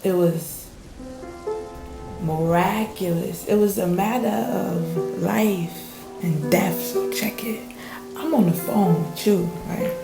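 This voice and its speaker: A theatrical, awestruck fisher, played by a woman in a radio drama, speaking slowly with heavy creak in the voice. The delivery is drawn-out and emphatic, echoing over prominent music. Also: mid-range voice, lively intonation. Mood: dramatic, awed. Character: awestruck, theatrical fisher who survived a storm. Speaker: voice actor. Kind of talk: radio play acting, dramatic storytelling. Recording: echoey, with music underneath.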